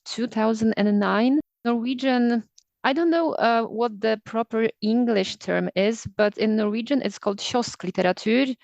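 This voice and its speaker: A woman's voice: mid-range voice, a little mellow, no echo. Woman, candid and dry. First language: Polish. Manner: thoughtful, candid, with fillers. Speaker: literary translator and scholar. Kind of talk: conversational interview answers.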